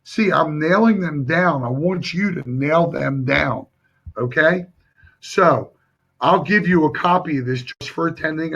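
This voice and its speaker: Hoarse male sales coach, slightly high, emphatic and direct; dull stream audio. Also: a bit bright. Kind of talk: live-stream sales training.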